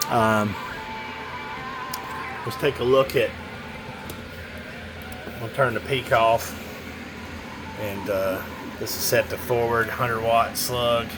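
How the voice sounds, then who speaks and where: A man's voice: medium pitch, fairly even intonation, takes it slowly, pauses often, over music, rough recording. A man giving a casual, rambling hands-on product demo, muttering to himself as he goes. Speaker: radio seller demonstrating a CB radio.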